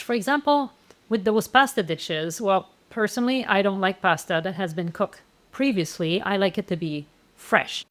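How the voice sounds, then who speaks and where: A woman walking through meal plans as an instructor, in a casual, conversational manner with frequent fillers and restarts. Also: medium pitch. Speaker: plant-based meal planning instructor. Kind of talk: casual explanatory walkthrough.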